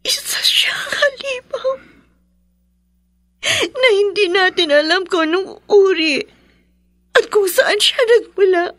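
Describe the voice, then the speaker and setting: An actress playing a grieving, gentle young daughter in a radio drama, speaking in a tearful, halting way. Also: female voice, extremely high pitch, animated, no echo, exaggerated voice. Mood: tearful grief. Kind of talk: melodramatic radio drama acting.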